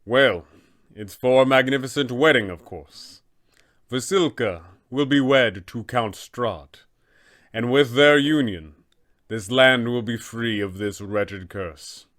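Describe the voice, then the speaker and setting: A man voicing a serene but sinister abbot in a fantasy tabletop game, speaking in a measured, formal, solemn manner. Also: mid-range voice, fairly bright tone. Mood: grandly assured. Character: serene, sinister male abbot, secretly an angel. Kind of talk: fantasy tabletop roleplay villain dialogue.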